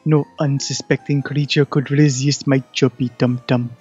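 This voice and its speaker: A man's voice: medium pitch, level tone, normal speed, darkish tone, breathy edge, dull audio, faint music behind, close to an everyday voice. A man voicing a smug, fluffy talking cat in an audio drama, theatrical and coaxing. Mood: coaxing, teasing. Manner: theatrical, coaxing, self-adoring.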